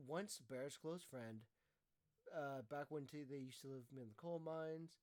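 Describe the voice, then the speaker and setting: A man co-hosting a casual chat, explaining things haltingly with many fillers and restarts. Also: on the high side, steady tone, a little mellow.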